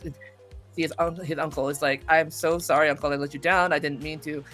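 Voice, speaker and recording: A woman chatting casually as an interview guest, excitable, effusive and expressive in the way she talks. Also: deep voice, quick pace, faint music behind. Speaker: voice actor guest being interviewed.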